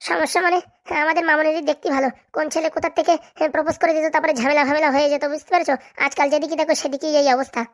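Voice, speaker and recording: A woman plays a cocky male fixer in a comedy cartoon. The voice is high and quick, chatty, boastful and full of reassurance. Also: level tone, natural voice. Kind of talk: Bengali comedy cartoon dub.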